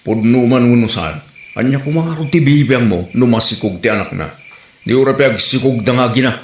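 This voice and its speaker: Casting: actor as exasperated, scolding Ilocano village husband, mid-pitched, comedy drama, echoing sound. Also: male voice, normal speed, close to an everyday voice. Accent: Ilocano Filipino. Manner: grumbling, nagging. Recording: roomy echo with faint noise.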